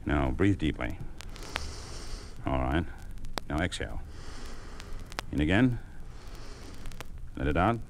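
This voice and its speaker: Casting: steady, principled American physician, low register, vintage radio drama with slight hiss. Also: male voice, slow, pauses often, heavily acted. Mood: concerned, professional. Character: principled, steady male physician in private practice. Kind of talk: 1950s radio acting. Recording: faintly noisy old radio recording.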